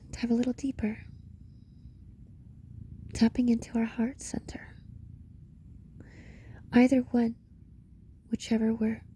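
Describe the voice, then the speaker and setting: A woman leading a guided meditation in a reflective monologue, speaking in short, paused phrases with a breathy voice that often slips into a whisper. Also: fairly high pitch, mellow, a little hiss or hum. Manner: slow, pausing between short phrases.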